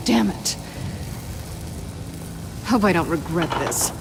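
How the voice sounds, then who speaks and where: A woman's voice: lowish voice, expressive, slow, creaky, heavy background noise, heavily acted. An actress playing a guarded, streetwise female thief in a video game, wry and clipped in her phrasing. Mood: tense, wary. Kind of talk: video game drama acting.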